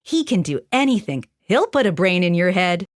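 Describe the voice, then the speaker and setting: A woman's voice: lowish voice, very animated, moderate pace, no room sound, studio quality. A woman voicing a polite, earnest, fearless little girl in a children's storybook, speaking eagerly. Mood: eager, pleading.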